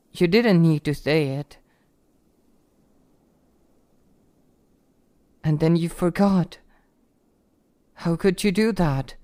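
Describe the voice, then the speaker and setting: A man's voice: sits high, darkish tone, clean tone, no room sound, studio quality. A man playing an obsessive, possessive young childhood friend in love, in an intimate ASMR roleplay monologue, pleading and menacingly tender. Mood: wounded, lovesick.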